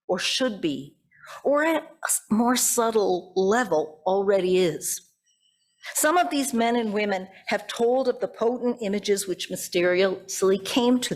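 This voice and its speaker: Reflective woman pastor giving a sermon. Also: mid-range voice. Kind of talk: sermon, conversational with prepared text.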